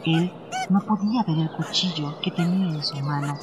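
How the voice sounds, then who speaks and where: A man's voice: on the high side, normal speed, background noise. A man reading scripted, literary narration for a radio drama. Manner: literary storytelling. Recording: music under the narration.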